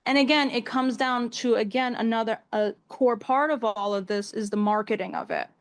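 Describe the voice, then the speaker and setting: A woman's voice: fairly high pitch, normal speed. An American woman in her twenties answering as an activist guest in a conversational interview, emphatic and repeating phrases for stress. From New York State, US. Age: 20s. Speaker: activist guest, community organizer.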